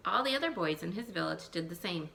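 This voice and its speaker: A woman teacher reading a picture book aloud to young children, expressive, thinking aloud and putting questions to her listeners. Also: fairly low pitch, fast, vocal fry, slight background noise. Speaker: elementary school teacher.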